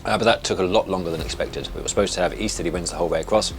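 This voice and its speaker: A man's voice: sits low, very fast, faint music behind. A man, a sailing instructor, explaining in an interview with occasional fillers.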